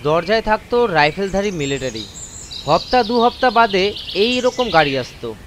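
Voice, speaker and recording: Male storyteller, high-pitched voice, dramatised horror narration, first person, clear birdsong and nature ambience behind. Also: lively intonation, moderate pace, a bit bright, slight background noise.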